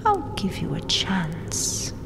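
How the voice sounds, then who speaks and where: A woman voicing a haughty, gloating vampire queen in a breathy, taunting whisper for an ASMR roleplay. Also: mid-range voice, wildly swooping pitch, over music, full character voice. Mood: teasing, amused. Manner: taunting, mock-sweet, haughty, drawn-out delivery. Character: domineering, gloating vampire queen, regal conqueror. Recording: long cavernous reverb, faint hiss. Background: dark tomb ambience.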